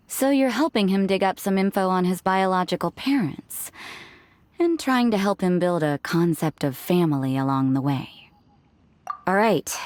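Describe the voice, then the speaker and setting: A woman's voice: on the low side, a little mellow, slight background noise, close to an everyday voice. A woman acting a cool, cynical, aloof character in a video game, with a dry, detached delivery.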